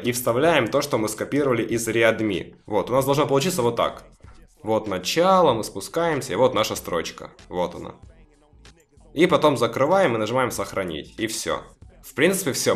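A man casually talking viewers step by step through installing a game mod, a little hoarse from a cough. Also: medium pitch, expressive, normal speed, faint music behind. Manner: chatty, talks viewers through each step. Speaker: gaming video creator. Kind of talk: casual screen-recorded mod tutorial.

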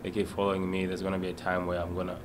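Young man, deep monotone, casual. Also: very fast, noisy background. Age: young adult. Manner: casual, relaxed, many "like" fillers. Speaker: player. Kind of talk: post-game press conference. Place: small press room. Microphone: desk mic on stand.

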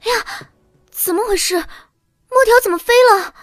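Sly, high-voiced young princess consort. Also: female voice, unhurried, a bit bright. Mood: mock innocent. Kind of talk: audio drama acting.

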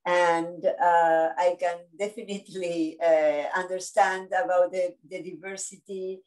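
A woman's voice: on the low side, level tone, unhurried, a little mellow. Woman from argentina, hesitant, distant from mic. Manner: hesitant, with uhs. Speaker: webinar participant. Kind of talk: casual chat.

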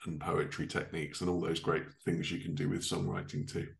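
Male host, 30s, fairly low pitch, informal interviewer on a video call. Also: flat delivery, a little fast, gravelly, roomy sound. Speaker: podcast host. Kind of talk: casual interview hosting. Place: living room, indoors, on a video call.

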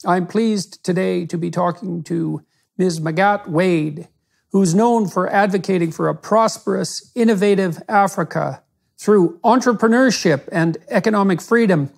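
A middle-aged man hosting an interview, reading a measured, formal introduction of his guest. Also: high voice, creaky. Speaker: interviewer host.

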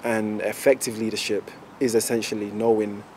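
A young man, an entrepreneur, speaks earnestly and deliberately in an outdoor interview. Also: fairly low pitch, level tone, darkish tone, a little hiss or hum, low-quality recording. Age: young adult. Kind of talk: interview answer.

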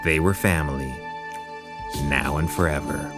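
A man's voice: extremely low pitch, unhurried. A man acting as the playful, sentimental narrator of a romantic comedy audio drama, a storyteller in love with love. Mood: warm, sentimental. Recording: music behind the voice.

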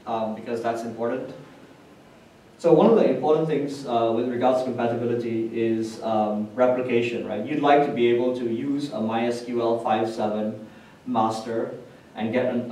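A man presents technical material to a conference room in an explanatory way. His voice sits at a middle pitch and is picked up from a distance, with very heavy room echo and faint noise behind him. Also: darkish tone. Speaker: conference speaker. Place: indoor hotel conference room with projector screen. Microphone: distant camera microphone.

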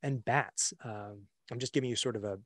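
A young man presenting a webinar on a video call, relaxed and full of fillers and restarts. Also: mid-range voice, moderate pace, no room sound. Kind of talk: casual slideshow presentation. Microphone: computer mic on a video call.

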